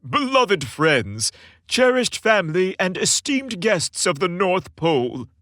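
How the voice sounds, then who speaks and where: A man voicing a jolly, commanding, fatherly old Santa Claus with a booming delivery in a storybook. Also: sing-song, slow pace, a bit bright, dry recording, full character voice. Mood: grand, proclaiming. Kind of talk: storybook character voice.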